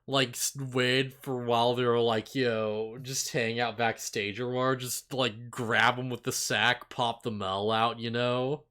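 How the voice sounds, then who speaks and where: A man's voice: mid-range voice, level tone, unhurried, hardly any pauses, sharp tone. A man co-hosting a podcast in casual chat, rambling and slangy, with a giggly manner. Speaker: podcast co-host. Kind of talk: casual chat, animated series discussion.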